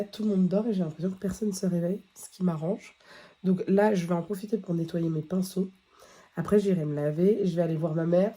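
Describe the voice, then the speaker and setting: A woman's voice: low-pitched, dark tone. A woman vlogging at home with a head cold, talking casually and rambling with many fillers and restarts. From France. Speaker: beauty vlogger. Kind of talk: casual chat to camera. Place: at home, early morning.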